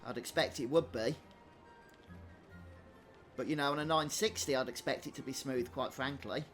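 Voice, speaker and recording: A man giving casual, chatty live commentary as a gaming streamer, offering measured opinions. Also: mid-range voice, fairly bright tone, soft background music. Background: game soundtrack.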